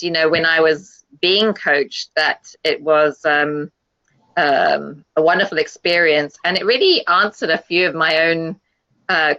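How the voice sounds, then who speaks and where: Bright-voiced woman on a web call. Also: deep voice. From South Africa. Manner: hesitant, many ums. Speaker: guest. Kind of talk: casual online panel chat. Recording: dull lo-fi web call audio.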